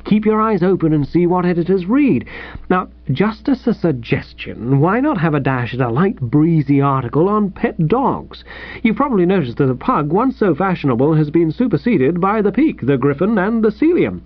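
A man voicing a jovial, gushing, patronising young English gentleman editor for an audiobook. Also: a little high, animated, normal speed, dry recording, dull audio, natural voice. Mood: kindly patronising. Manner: gushing, breezy, chummy. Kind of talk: audiobook character voice.

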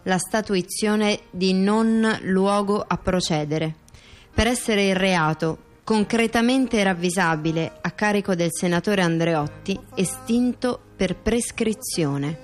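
An actress playing an earnest female intellectual in radio theatre, speaking in a deliberate, declamatory way. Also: mid-range voice, faint music behind, lightly acted. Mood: solemn. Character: earnest female intellectual recounting court rulings. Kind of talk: theatrical address and formal reading.

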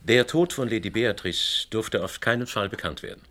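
A man acting in a radio drama as a proud, debt-ridden gentleman who confesses in a formal, grave, explanatory manner. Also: on the low side, lively intonation, normal speed. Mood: grave confession. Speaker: actor in a radio play.

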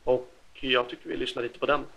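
A man presenting game facts on a podcast, speaking haltingly with many hesitant pauses. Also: mid-range voice, fairly even intonation, gravelly, fairly bright tone, dull audio. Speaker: podcast host.

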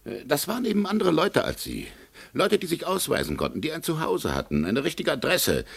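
An actor in a German radio play, playing a condescending, evasive consul with a pompous, patronizing manner and a husky voice. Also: male voice, fairly high pitch, wildly swooping pitch, exaggerated voice. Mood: indignant. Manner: pompous, patronizing, drawn-out rhetorical questions. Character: condescending, evasive male American consul, officious bureaucrat.

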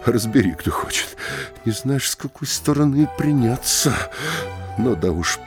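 An actor playing a middle-aged, nervous and scheming town mayor in a comic audio play, fawning and stammering with a husky voice. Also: male voice, mid-range voice, expressive, measured pace, close to an everyday voice. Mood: sly, calculating. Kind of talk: theatrical audio-play comedy acting. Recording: dry studio, music underneath.

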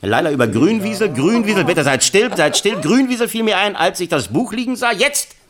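Herrischer, von sich eingenommener Filmregisseur. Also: male voice, sits high, big pitch swings, a little fast, fairly bright tone. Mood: excited. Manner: brooding aloud, insistent, commanding. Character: excitable, self-absorbed, imperious film director. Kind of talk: radio play dialogue.

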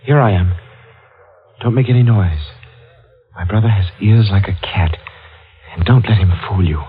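An actor playing a secretive, anxious brother in a 1940s radio thriller, speaking cautiously and deliberately, as a conspirator. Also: male voice, fairly low pitch, slightly rough. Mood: conspiratorial, anxious. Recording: dull old radio recording with loud music.

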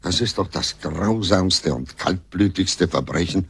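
Casting: earnest doctor sidekick for radio mystery, deep register, heavily husky, emphatic. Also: male voice, expressive, a little slow, full character voice. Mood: appalled. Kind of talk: German radio drama acting.

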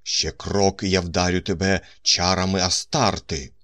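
A man's voice: deep voice, fairly even intonation, a little slow, fairly bright tone, dry recording. A man voicing a young woman who poses as a cruel priestess, speaking in a solemn, commanding, menacing way. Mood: shouting threat.

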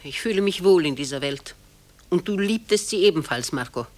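An older actress playing a genteel, proud old mother who clings to the past, in a measured, dignified radio play style with a husky voice. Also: fairly low pitch, lively intonation, darkish tone, lightly acted. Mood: calm, dignified. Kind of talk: German radio play acting.

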